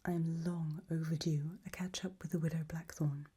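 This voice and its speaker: A woman playing an elegant, macabre gothic matriarch hosting in a soft, breathy ASMR whisper, genteel and darkly droll. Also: sits low, steady tone, normal speed. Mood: gracious, darkly amused.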